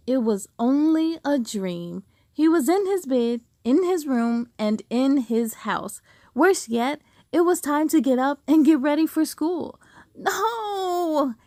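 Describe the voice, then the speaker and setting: A woman reading a children's book aloud with expressive storytelling and dramatic emphasis. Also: high-pitched, no echo, studio quality. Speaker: storybook reader.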